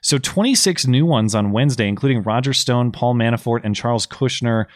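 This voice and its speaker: A slightly hoarse man co-hosting a podcast, recapping political news in a casual, explanatory way with plenty of fillers. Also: medium pitch, fairly quick. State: slightly hoarse, losing his voice. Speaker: podcast co-host.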